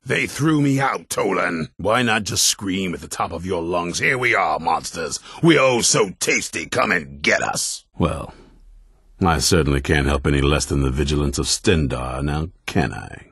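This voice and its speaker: A battle-hardened commander of vampire hunters for a fantasy video game. His voice is exceptionally deep, thick with gravel and very husky. He speaks sternly and bluntly, trusting nobody. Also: animated, a little slow, a bit bright, dry recording, studio quality, full character voice. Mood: angry, forceful, then scornful, bitter. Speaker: leader of an order of vampire hunters. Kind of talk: video game character dialogue.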